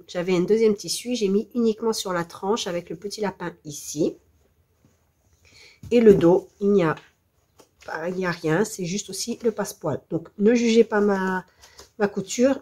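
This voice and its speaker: A French woman with a hoarse voice from the flu, presenting a scrapbooking tutorial in a hesitant, apologetic way. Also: mid-range voice, warm tone. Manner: hesitant, apologetic, self-deprecating. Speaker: scrapbooking video host.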